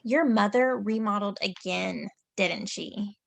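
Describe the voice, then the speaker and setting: A woman's voice: mid-range voice, unhurried, vocal fry, dry recording. An actress at a table read playing a bubbly young woman who loves to talk about her boyfriend and laughs often.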